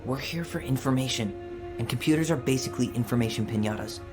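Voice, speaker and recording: A man's voice: medium pitch, quick pace, lightly acted. An actor playing a sardonic, determined and impatient young man in naturalistic video game dialogue. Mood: wry, sarcastic. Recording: music behind the voice.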